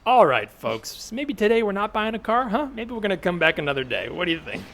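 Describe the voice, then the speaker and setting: A man's voice: high-pitched, very animated, brisk, gravelly, fairly bright tone, voice pushed up, heavily acted. A man playing an awkward, eager car salesman in improvised comedy, hesitant and over-polite. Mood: salesmanlike. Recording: background ambience noise, remote recording.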